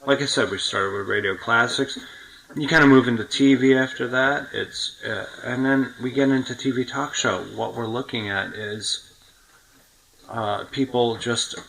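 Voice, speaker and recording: A man's voice: medium pitch, creaky, fairly bright tone, rough recording. A man hosting a casual podcast interview, asking questions in an eager, insistent way. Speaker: podcast host.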